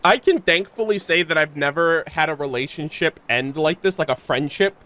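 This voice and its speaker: A woman's voice: sits low, normal speed, a bit bright. A young adult woman chatting casually and expressively as a streamer reacting to a game, with mock-dramatic exclamations. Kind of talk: casual livestream reaction chat. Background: faint game music.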